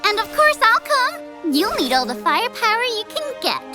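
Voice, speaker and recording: Woman as a very high-pitched sardonic cartoon girl. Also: big pitch swings, normal speed, music in the background. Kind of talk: fan-dub parody cartoon acting.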